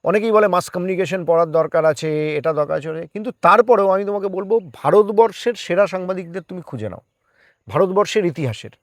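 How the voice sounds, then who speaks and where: A man's voice: high-pitched, expressive, brisk, no echo. A man talks as a guest in a conversational interview. He explains his views, slipping English words into his speech. The sound is dry, with very little room in it. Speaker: guest, part-time journalist.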